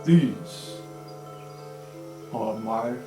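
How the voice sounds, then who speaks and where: A man doing a slow, halting plant-born student. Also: medium pitch. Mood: grave, earnest. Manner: halting, deliberate, formal phrasing. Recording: roomy sound, music underneath.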